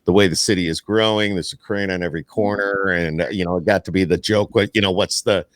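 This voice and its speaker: A man's voice: deep voice, steady tone, fast, fairly bright tone, no room sound. An American man hosting a podcast, chatting casually with a jokey manner and repeated phrases. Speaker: podcast host. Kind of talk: casual chat.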